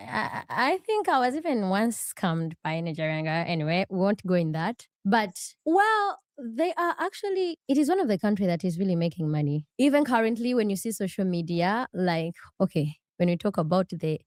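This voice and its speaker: A woman guest answering casually and hesitantly, restarting her sentences and softening her points. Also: medium pitch, sing-song, normal speed, hardly any pauses, dry recording, studio quality.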